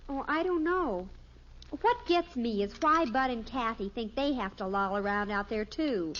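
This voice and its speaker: Exasperated US teen daughter, sardonic, highish voice, vintage radio sitcom, faint hiss. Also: female voice, animated, measured pace, fairly bright tone. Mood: sardonic grumbling. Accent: American. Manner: sarcastic, grumbling. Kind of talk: 1950s radio sitcom acting. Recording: muffled, hissy old radio recording.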